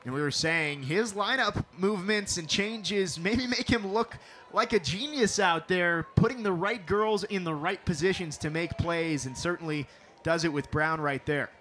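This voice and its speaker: A young man calling a televised softball game as play-by-play announcer, with a crisp broadcast delivery that lifts for big plays. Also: high-pitched, normal speed. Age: young adult. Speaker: sports reporter and play-by-play announcer.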